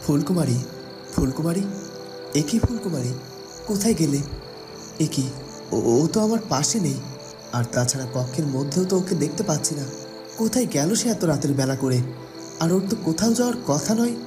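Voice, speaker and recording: Casting: actor for worried young fairy-tale prince, urgent questioning delivery, prominent music and night insects behind. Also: male voice, on the high side, lively intonation, brisk, long pauses, lightly acted. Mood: worried, searching. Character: worried young prince searching, devoted husband.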